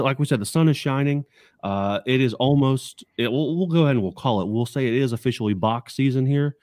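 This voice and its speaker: A man co-hosting a casual sports podcast in an upbeat, excited way, recorded in a basement home studio. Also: mid-range voice, no room sound. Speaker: podcast co-host.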